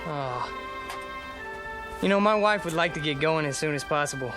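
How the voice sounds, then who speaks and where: A man's voice: fairly high pitch, lively intonation, moderate pace, music in the background, close to an everyday voice. A young man playing an easygoing, placating, slightly nervous newlywed husband in conversational radio drama dialogue. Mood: polite, uneasy. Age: young adult.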